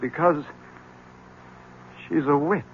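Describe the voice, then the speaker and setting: An actor playing a hesitant, grim, haunted husband with a husky voice in a 1970s radio drama. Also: male voice, fairly high pitch, slow pace, slight background noise. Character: haunted, quiet man who calls his wife a witch. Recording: dull old radio broadcast.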